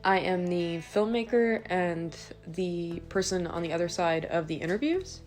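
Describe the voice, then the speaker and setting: Thirty-year-old woman, hesitant and low. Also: expressive, measured pace, darkish tone, soft background music. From San Antonio, Texas. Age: 30s. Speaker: filmmaker and interviewer. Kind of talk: documentary interview.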